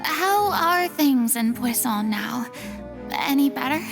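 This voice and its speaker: A woman voicing a hesitant, subdued, sympathetic young woman in a video game, with a creaky edge. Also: high-pitched, expressive, slow pace, full character voice. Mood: gentle concern. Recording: game music behind.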